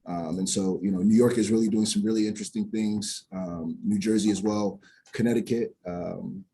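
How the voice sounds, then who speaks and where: Male advocate, public testimony: earnest, halting delivery, lower-pitched and warm. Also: fairly even intonation, breathy edge. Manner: earnest, many filler words and restarts. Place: public hearing.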